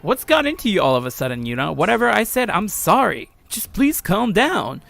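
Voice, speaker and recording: Man acting a pleading schoolboy, high and bright. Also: big pitch swings, brisk, vocal fry, dry recording, faint music behind, full character voice. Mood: confused, apologetic. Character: bewildered, frightened teenage schoolboy, visual novel hero.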